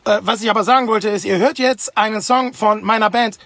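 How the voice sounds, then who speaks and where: A man's voice: high voice, fairly even intonation, brisk, creaky, sharp tone, no echo. A man in his thirties, a guest musician, giving a jolly, joking greeting into a phone held at arm's length in a car. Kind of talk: casual greeting message. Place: inside a car.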